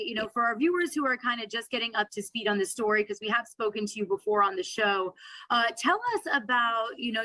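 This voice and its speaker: Bright-toned female news anchor. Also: fairly high pitch, no echo, rough recording. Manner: friendly, rambling introductions. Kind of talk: live news interview.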